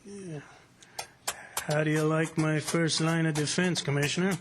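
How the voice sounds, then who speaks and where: An actor playing a smug, unflappable plantation owner in old-time radio drama style, confident and offhand. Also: male voice, on the high side, steady tone, a little slow, over music, lightly acted. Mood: proud confidence. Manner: confident, offhand, matter-of-fact.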